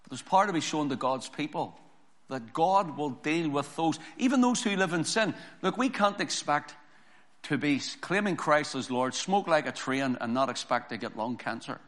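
A hoarse man preaching a sermon, reading scripture slowly and building to emphatic preaching. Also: a little high, lively intonation, a bit bright. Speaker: pastor.

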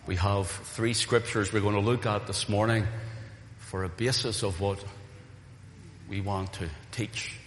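A man with a low voice preaches a sermon, earnest and building to emphatic calls to his congregation. His voice is still a little weak from recent voice loss. The sound is roomy, with a long hall reverb and faint ambience behind. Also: fairly even intonation, unhurried, a little hiss or hum. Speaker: pastor. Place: church hall.

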